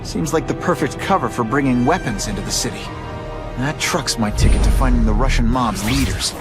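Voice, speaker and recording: An actor playing an earnest, youthful superhero in a video game, direct and quick to wisecrack. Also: male voice, medium pitch, loud music behind the voice, close to an everyday voice. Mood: determined. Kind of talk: video game cutscene acting.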